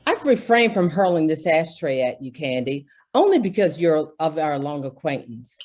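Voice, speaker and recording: A woman's voice: sits low, very animated, normal speed, a little mellow. A woman playing a harried, earnest department store executive in an old-time radio drama, exasperated and emphatic. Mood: exasperated, pleading.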